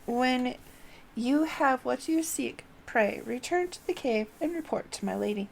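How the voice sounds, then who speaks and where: Woman voicing a loudly declaiming, courtly enchanted broom. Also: high voice, lively intonation, measured pace, vocal fry, slight background noise, exaggerated voice, poor audio. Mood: apologetic, deferential. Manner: formal, archaic, declaiming. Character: humble, courtly enchanted broom serving a lady. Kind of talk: reading fantasy game dialogue aloud.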